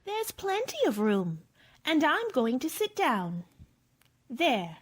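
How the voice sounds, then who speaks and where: A prim, curious young girl, played by a woman, with a high voice and lively pitch. She speaks precisely and slowly, in radio-play style. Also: breathy edge, exaggerated voice. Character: prim, curious, polite but scolding girl.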